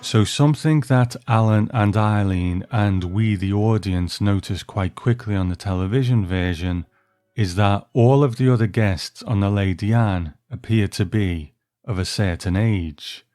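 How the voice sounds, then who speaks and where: A man's voice: on the low side, unhurried, dark tone. A man hosting and narrating a scripted review with story readings. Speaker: host and narrator.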